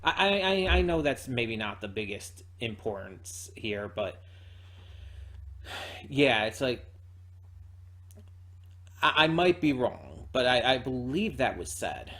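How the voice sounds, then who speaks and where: Man, medium pitch, reaction host, casual commentary, halting, repeats words while thinking aloud. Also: very animated, sharp tone. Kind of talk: casual spoken commentary, reviewing a video.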